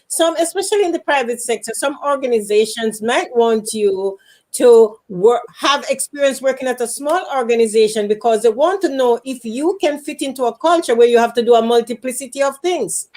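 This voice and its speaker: Woman host, non-native English speaker, emphatic and repetitive delivery, fairly high voice. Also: lively intonation, a little fast, darkish tone. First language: not English. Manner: emphatic, repeats phrases for effect. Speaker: career show host. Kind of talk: live interview chat. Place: Canada.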